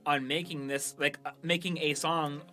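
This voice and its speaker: A man hosts a casual talk podcast with an informal, easygoing way of speaking. His voice is bright and forward and sits a bit higher than average. Faint music plays behind him. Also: flat delivery, moderate pace. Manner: informal, laughs easily. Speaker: podcast host, show creator. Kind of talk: casual banter and discussion.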